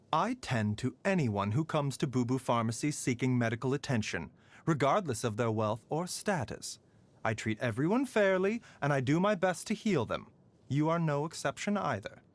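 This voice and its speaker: A gentle, sly game doctor. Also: male voice, on the high side. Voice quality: soft, measured, sighs and chuckles. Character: gentle male doctor, calm, courteous, faintly sly. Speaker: game character voice lines. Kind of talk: game voice lines.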